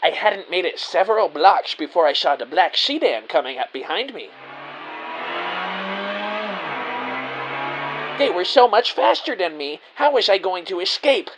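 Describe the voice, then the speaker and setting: An actor playing an excitable, anxious amateur spy who narrates his own story in an audio drama. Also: high voice, moderate pace, hardly any pauses, slight background noise. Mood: panicked narrating. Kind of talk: scripted first-person story narration. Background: music.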